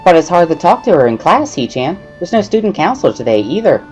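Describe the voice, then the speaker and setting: A man voicing a cheerful, excitable teenage schoolgirl in a gushing, sing-song way for a visual novel reading. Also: a little high, fast talker, soft background music, voice pushed up. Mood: pleading, earnest.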